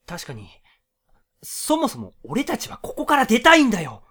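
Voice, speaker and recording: A man playing an exasperated captive contestant in a comedic radio drama, his deadpan asides flaring into loud retorts. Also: very high voice, normal speed, full character voice. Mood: dry, sarcastic. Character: exasperated male captive contestant, quick with comebacks.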